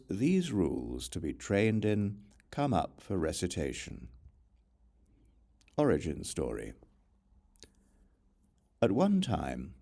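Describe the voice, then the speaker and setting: A man reading scripture as an audiobook narrator, in a measured, formal recitation. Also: low voice, dark tone. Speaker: audiobook reader.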